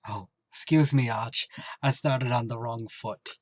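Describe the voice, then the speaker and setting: An actor playing a guileless, slow-witted barfly with a husky voice in old-time radio comedy style. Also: male voice, medium pitch, dry recording, natural voice. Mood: dim, earnest. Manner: simple-minded, colloquial.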